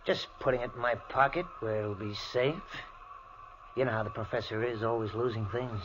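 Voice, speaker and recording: An actor playing a bold, sly lab assistant in an old-time radio mystery, speaking with insistent intensity. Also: male voice, medium pitch, steady tone, fairly quick, fairly bright tone, lightly acted. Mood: insistent, seductive. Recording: muffled, noisy vintage radio recording.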